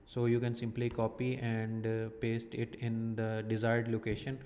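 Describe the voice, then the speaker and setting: A man explaining a software setting step by step in a halting, methodical way, pausing often as he walks through a screen recording. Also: mid-range voice, monotone, big-room sound, a little hiss or hum. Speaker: software tutorial presenter.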